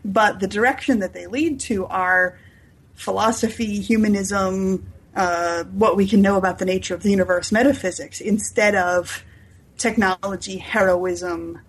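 Husky-voiced woman explaining in detail. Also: mid-range voice, fairly even intonation, unhurried, low-quality recording. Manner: enthusiastic, detailed, enumerating explainer. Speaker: author being interviewed about their novel. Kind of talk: unscripted explanatory interview talk.

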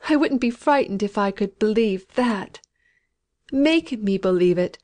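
A woman's voice: medium pitch, animated, measured pace, a little mellow, natural voice. A woman voicing a terrified dying old woman. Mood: desperate longing. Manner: frantic, pleading, dialect speech.

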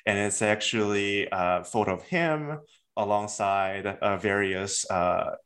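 Male academic guest, low voice, searching and restarting as he thinks. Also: slow pace, fairly bright tone. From South Korea. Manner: thoughtful, many fillers and restarts. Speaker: author, guest. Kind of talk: academic interview.

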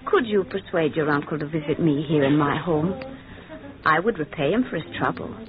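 A woman's voice: deep voice, moderate pace, crowd noise, close to an everyday voice. A woman playing a haughty, sly, scheming society wife in a 1940s radio drama, with coquettish manners. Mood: coaxing, sly. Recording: muffled, narrow-band old radio recording.

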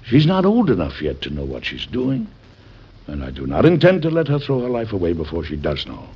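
A man's voice: low-pitched, huge pitch swings, a little fast, husky, full character voice. An actor with an American accent playing a stern, protective, middle-aged President and father in old-time radio drama, formal and emphatic. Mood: firm, earnest. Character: stern, protective President and father, hot-tempered but loving. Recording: muffled old radio recording.

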